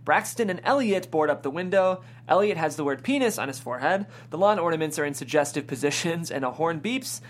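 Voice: mid-range voice, expressive, fairly quick, few pauses. A narrator reading screenplay scene directions at a table read in a scripted style. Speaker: stage-direction reader.

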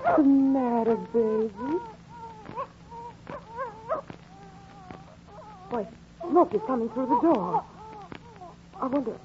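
A distraught young mother speaks high, with wide swings of pitch. The melodramatic 1940s radio acting sits in a muffled, noisy recording. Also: very animated, measured pace. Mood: puzzled, uneasy. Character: distraught young mother escaping a house fire. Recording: dull, noisy 1944 recording with crowd.

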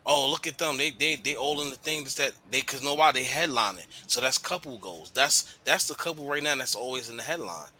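A man's voice: mid-range voice, fairly quick, hardly any pauses, sharp tone, a little hiss or hum. A man co-hosting a podcast, chatting casually and animatedly, swearing and using slang. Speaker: podcast co-host. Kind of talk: casual chat.

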